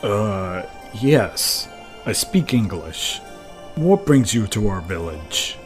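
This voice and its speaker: A man's voice: fairly low pitch, big pitch swings, slow, faint music behind. A man playing an earnest, awestruck villager and father in an audio drama. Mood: startled awe.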